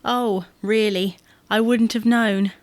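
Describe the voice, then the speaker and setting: Woman playing a deadpan housewife, close dry sound. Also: medium pitch, takes it slowly, dry recording, close to an everyday voice. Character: dry, sardonic housewife, patient but unimpressed. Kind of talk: audio sitcom acting.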